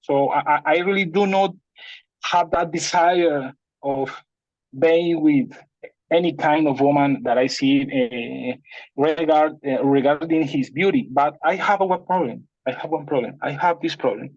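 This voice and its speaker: Slow, halting man with a Spanish accent. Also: fairly high pitch, darkish tone, no room sound, highs cut off. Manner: halting, searching for words, many restarts. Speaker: participant sharing a personal problem.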